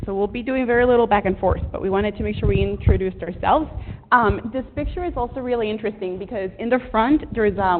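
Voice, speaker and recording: A woman from Rico, giving an earnest academic presentation to a room full of students, with frequent ums. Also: mid-range voice. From Puerto Rico. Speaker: research presenter. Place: lecture room with an audience. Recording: tinny, echoey lecture hall recording.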